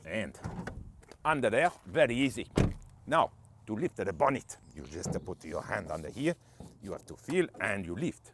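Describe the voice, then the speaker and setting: A man's voice: lowish voice, moderate pace, noisy background, pitched below the performer's own voice. A man voicing a cheeky, boastful Spanish man reviewing a car in broken English with a put-on Spanish accent, joking as he goes. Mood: jokey. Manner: jokey, broken English, lively swoops.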